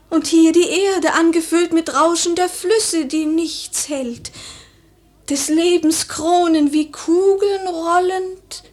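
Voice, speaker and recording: Haunted lady of rank, very high voice, drawn-out declamation, feverish and dreamlike radio drama. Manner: declamatory, breathless whispers rising to agitation. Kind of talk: poetic theatrical radio monologue.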